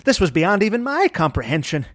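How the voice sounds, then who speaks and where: A man's voice: sits high, sing-song, brisk, dry recording, clean studio sound. An actor playing a remorseful, haunted man of science with a grave, melodramatic manner in an old-time radio detective drama. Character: haunted, remorseful male scientist who faked his death.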